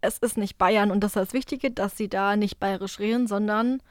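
A woman's voice: mid-range voice, fairly even intonation, moderate pace, dry recording, clean studio sound. A young woman co-hosting a podcast, chatting in a playful, teasing way and asking her partner questions. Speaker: podcast co-host. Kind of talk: casual chat.

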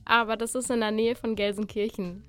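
Young woman from the ruhr area, kinda high voice, chatty and blunt, faint music behind. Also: flat delivery, fairly quick, a bit bright, clear, resonant tone. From Ruhr area, Germany. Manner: chatty, laughs often, outspoken. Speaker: guest. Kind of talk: casual radio chat.

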